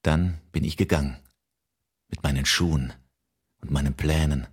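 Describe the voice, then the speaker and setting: An actor playing a patient, melancholy lover in a poetic radio-play monologue, wistful and measured. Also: male voice, extremely low pitch, steady tone, husky, full character voice. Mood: wistful, resigned. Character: patient, melancholy man who waits for a lost love.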